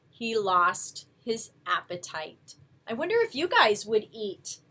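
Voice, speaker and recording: A woman storyteller reading a picture book aloud to young children, with expressive, coaxing asides to her listeners. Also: a little high.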